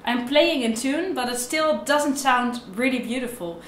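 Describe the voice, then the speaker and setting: A woman's voice: high-pitched, unhurried, far from the mic, over loud music. Young woman teaching, echoey room. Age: young adult. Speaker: violin teacher. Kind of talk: instructional tutorial talk, explaining to camera. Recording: roomy, echoey indoor sound.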